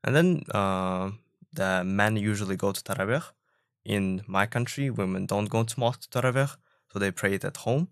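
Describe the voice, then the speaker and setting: A young man from Uzbekistan, a student guest, talking in a thoughtful, measured way with frequent pauses and fillers. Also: fairly low pitch, clear, resonant tone, no room sound, clean studio sound. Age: young adult. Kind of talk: casual discussion.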